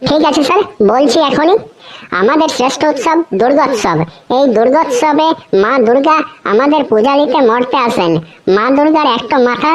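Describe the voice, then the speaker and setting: A woman's voice: high voice, close to an everyday voice. A woman voicing an overconfident schoolboy in a comedy cartoon, with a sing-song, recited delivery. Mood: cocky. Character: cheeky, overconfident schoolboy reciting absurd crocodile essays.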